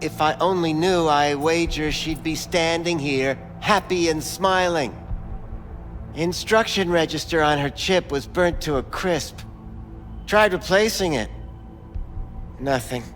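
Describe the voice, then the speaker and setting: An actor voicing a smug, evasive back-alley implant doctor in a video game, with florid, theatrical phrasing. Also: male voice, fairly high pitch, slow pace, a bit bright, in a large hall, soft background music. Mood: sly, evasive. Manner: florid, theatrical wording, stammers when pressed.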